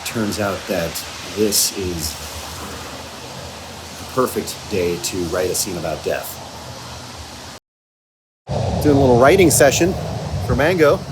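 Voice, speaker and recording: A man's voice: on the low side, animated, slow, long pauses. Man's casual video diary, noisy location sound. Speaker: audio drama writer and creator. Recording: noisy location recording with vehicle and ambient noise.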